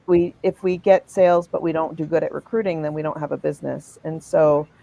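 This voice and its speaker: A woman running a business, chatting quickly and warmly with her team on a live video call. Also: low voice, fairly even intonation, vocal fry, poor audio. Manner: chatty, quick, self-deprecating. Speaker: business owner. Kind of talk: casual live stream chat.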